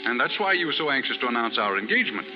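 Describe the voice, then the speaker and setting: Actor as an earnest gentleman, animated delivery. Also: medium pitch, rapid-fire, over music, lightly acted. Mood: cold, accusing. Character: earnest upper-class gentleman novelist, stern when wronged. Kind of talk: 1930s radio acting. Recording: muffled 1930s radio broadcast.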